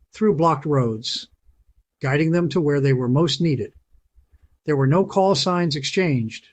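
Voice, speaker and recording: A man who hosts a channel, giving a punchy, emphatic scripted narration with dramatic pauses. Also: medium pitch. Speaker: channel host.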